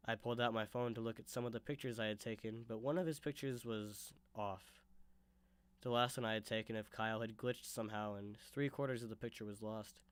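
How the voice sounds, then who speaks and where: A man's voice: mid-range voice, clear, resonant tone, no echo. A young man telling a story and chatting casually on a vlog while sick with a sore throat and blocked sinuses. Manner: casual, conversational. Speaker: YouTuber.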